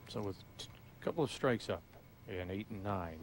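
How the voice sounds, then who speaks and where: A man's voice: on the low side, lively intonation, normal speed. A man giving conversational, inquisitive live play-by-play commentary on a bowling match. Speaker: sports play-by-play announcer. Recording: live crowd noise behind. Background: audience and hall noise.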